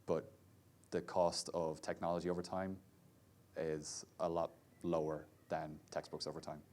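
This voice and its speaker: A man's voice: a bass voice, level tone, unhurried, darkish tone. A man, a VR developer, speaking casually on a panel in a chatty way, full of "sort of" and "like". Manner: chatty, many fillers like "sort of" and "like".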